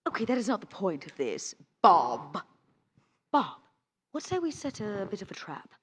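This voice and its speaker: A woman plays a sly, commanding female vampire in a tabletop game. She coaxes and schemes theatrically, in a lively, swooping voice of medium height. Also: mid-range voice, moderate pace, a little hiss or hum, natural voice. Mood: sly, scheming.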